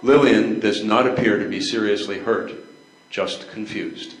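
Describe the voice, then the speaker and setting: An older man narrates scene settings from a script. His voice sits a little low. The recording has a strong room echo. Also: unhurried. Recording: echoey room.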